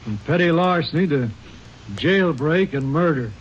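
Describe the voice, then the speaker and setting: A man playing a brisk, folksy county sheriff in a matter-of-fact 1950s radio western style. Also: a little high, lively intonation. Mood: businesslike. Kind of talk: 1950s radio western crime drama acting. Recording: muffled old radio recording, faint noise.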